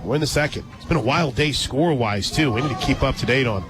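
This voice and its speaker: A man doing live radio play-by-play of a softball game, mixing calls of the action with casual, conversational chat. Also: medium pitch, fast, very noisy. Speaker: radio play-by-play sports announcer. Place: outdoor ballpark radio booth. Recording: loud crowd and music behind broadcast. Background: loud stadium crowd and music.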